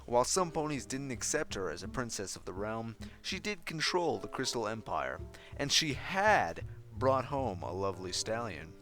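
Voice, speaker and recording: A man reads a story as narrator with a very lively, expressive delivery. His voice sits at a middle pitch, and music plays clearly behind him. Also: moderate pace. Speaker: fan fiction narrator.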